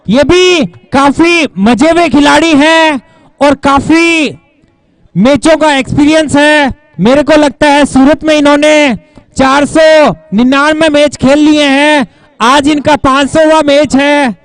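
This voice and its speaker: A very high-pitched man commentates on a live cricket match and makes announcements. He likes short rhyming quips, and his voice is recorded dry and close, without room echo. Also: takes it slowly.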